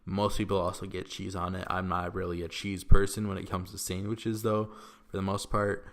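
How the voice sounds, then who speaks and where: A young man with a Midwestern accent answering questions in a relaxed, rambling vlog, with lots of ums and likes, in an echoey room. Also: deep voice, moderate pace. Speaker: YouTuber. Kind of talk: casual Q&A vlog.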